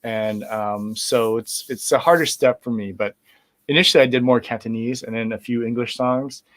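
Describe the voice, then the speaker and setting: A middle-aged man chatting as an interview guest. He talks in a loose, rambling way and often circles back to restart his sentences. His voice sits at an ordinary middle pitch. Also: moderate pace. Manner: relaxed, rambling, many fillers and restarts. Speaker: guest musician. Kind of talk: casual interview conversation.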